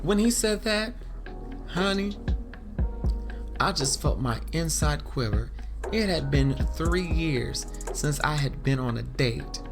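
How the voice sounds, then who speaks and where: A sassy, lovestruck American woman tells her own story in an audio drama. The voice is mid-pitched, expressive and chatty, full of girlfriend slang, with music playing behind it. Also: slow pace. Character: sassy, lovestruck woman with playful confidence, Topaz. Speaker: narrator and lead character.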